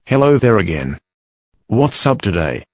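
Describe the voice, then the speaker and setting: A man's voice: on the low side, big pitch swings, a little slow, no echo, studio quality. A man in his twenties co-hosting a talk show, chatting casually and sharing his own recollections. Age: 20s. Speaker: co-host. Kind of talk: casual chat, personal recollections.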